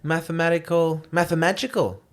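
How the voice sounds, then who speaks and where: A man's voice: on the high side, steady tone, a little fast. A man from South Africa, a comedian co-hosting a podcast, teasing the others in casual banter and laughing a lot.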